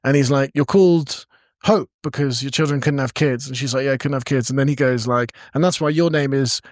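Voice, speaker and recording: A man's voice: medium pitch, brisk, dry recording, clean studio sound. A young British man co-hosting a comedy podcast, telling rambling, playful anecdotes. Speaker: podcast co-host. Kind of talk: casual comedic chat.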